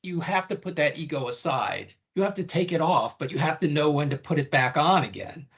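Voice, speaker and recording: Male co-host, wry and reflective, husky voice. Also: fairly high pitch, expressive, brisk, fairly bright tone, lo-fi sound. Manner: reflective, wry, asks with "right?". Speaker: podcast co-host. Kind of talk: conversational interview questions.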